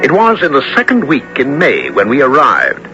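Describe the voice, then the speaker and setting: An actor playing a seasoned adventurer who narrates his perilous expedition in a dramatic, deliberate radio drama style. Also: mid-range voice, wildly swooping pitch, highs cut off. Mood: grim, dramatic. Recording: old radio broadcast with loud music bed.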